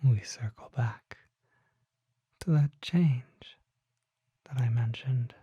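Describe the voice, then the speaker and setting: An ASMR performer voicing a mysterious, learned vampire apothecary in a breathy, husky whisper, speaking formally in slow, deliberate phrases with measured pauses. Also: medium pitch, warm tone, no room sound. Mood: hushed, mysterious. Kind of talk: whispered ASMR roleplay, slow scripted monologue. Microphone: close microphone.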